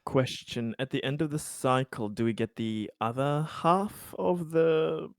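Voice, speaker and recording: A man's voice: medium pitch, measured pace, vocal fry, no echo, clean studio sound. A man playing in a tabletop roleplaying game, talking casually and thinking aloud with small hesitations. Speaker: player.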